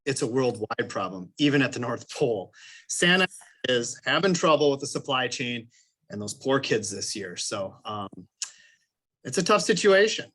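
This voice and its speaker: A man's voice: mid-range voice, sing-song, normal speed, a bit bright. A man with a mid-range voice speaks as a panelist on an online webinar. He is easygoing and slips in jokes. The sound is that of a video call. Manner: easygoing, joking. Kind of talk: webinar presentation.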